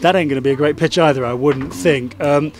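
A man's voice: a little high, a little fast. A man working as a sports reporter, asking questions in a post-match interview.

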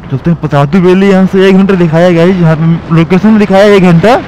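A young man, narrating casually to viewers while riding a motorbike through city streets, talking in halting bursts. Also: sits high, fairly even intonation, mellow. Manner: chatty, halting, addresses viewers as guys. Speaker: motovlogger. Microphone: helmet or bike-mounted action camera mic. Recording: muffled mic with traffic noise.

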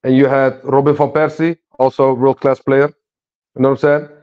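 A man's voice: mid-range voice, level tone, normal speed, a little mellow, clear, resonant tone, dull audio. A man on a video call chatting casually about football, laid-back and often tagging "you know what I'm saying". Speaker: podcast panelist.

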